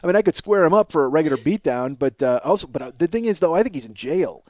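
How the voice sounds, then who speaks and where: Excitable high-voiced man ranting comedically. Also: fast talker, no room sound. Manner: excitable, rambling, profane. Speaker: podcast host. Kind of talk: comedic ranting monologue, casual chat.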